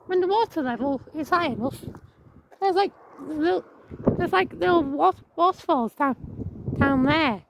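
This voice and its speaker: A woman with a croaky voice walking outdoors, chatting casually to her viewers and reading signs aloud with many restarts. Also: high-pitched, lively intonation, noisy background. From England. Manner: rambling, restarts words, reads signs aloud haltingly. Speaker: vlogger. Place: outdoors on a viaduct.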